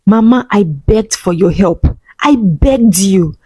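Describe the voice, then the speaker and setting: A woman's voice: mid-range voice, animated, slow pace, a little mellow, husky, no echo, clean studio sound. Woman voicing a firm, wronged young mother. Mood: hurt, firm. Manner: firm, reproachful.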